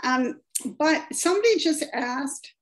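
A woman co-hosting a live online craft class, passing on viewers' questions and reassuring the instructor with a quick laugh. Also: high voice, animated.

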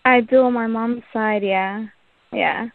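A woman's voice: fairly high pitch, steady tone, takes it slowly, creaky, dry recording. A woman from Compton, a podcast guest in casual chat, laughing easily and playing down her own music taste. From Compton, California. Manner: laughing, self-deprecating. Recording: tinny phone-like audio.

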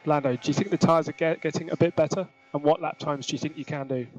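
A man working as a race engineer, giving measured, clipped, often repeated instructions over team radio. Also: on the high side, steady tone, darkish tone, muffled recording. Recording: noisy team radio link with clicks.

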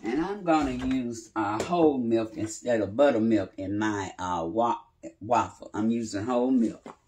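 An older woman, chatting in an unhurried way as she cooks in her home kitchen and explains each step. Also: very deep voice, dark tone. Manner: unhurried, chatty, talks while working with pauses and fillers. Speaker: home cooking channel host. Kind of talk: casual cooking demonstration. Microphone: phone camera a few feet away on the counter.

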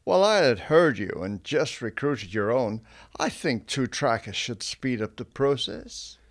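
An actor playing a smug, mocking ranger boss in a radio drama. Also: male voice, mid-range voice, animated, a little slow. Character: smug scheming male Texas Ranger boss.

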